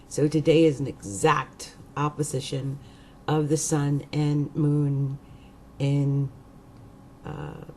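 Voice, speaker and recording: Croaky low-voiced woman rambling slowly. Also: mellow, slight background noise. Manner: rambling, hesitant, many fillers. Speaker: astrologer. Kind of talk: casual rambling vlog.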